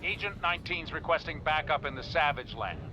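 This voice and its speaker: A businesslike agency man in a video game, acted by a man, slightly high in pitch. He is clipped and official over clear rumbling noise. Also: animated, a little fast, close to an everyday voice. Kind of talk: video game cutscene acting.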